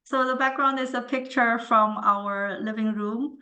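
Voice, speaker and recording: A woman in her thirties from China, a webinar panelist on a video call, speaking in a measured way with many "um" fillers. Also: fairly high pitch, steady tone, bright, forceful tone. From China (Nanjing).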